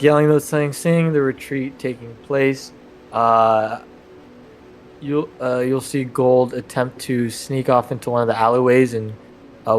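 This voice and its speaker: A man casually narrating his character's moves as a tabletop player, halting as he searches for words. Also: medium pitch, a little hiss or hum.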